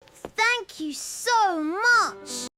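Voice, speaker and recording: A gentle storybook character, acted by a woman, speaks in a very high, bright voice. The lines come slowly and carefully, over faint hiss. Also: expressive, exaggerated voice. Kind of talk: slow repeated storybook dialogue.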